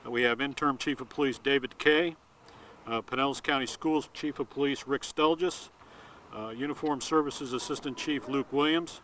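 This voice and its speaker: A man with a bright, forceful voice of medium pitch makes introductions at a press conference. His delivery is halting and trips on names, with faint outdoor traffic noise behind him. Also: rough recording. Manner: halting, stumbles over names. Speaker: police media relations officer, emcee.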